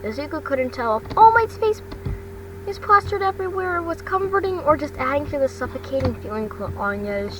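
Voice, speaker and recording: High-voiced woman reading haltingly, noisy distant recording. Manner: halting reading, stumbles over words. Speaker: amateur fan fiction reader. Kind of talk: scripted narration, reading a story aloud. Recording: distant mic with loud rumbling noise.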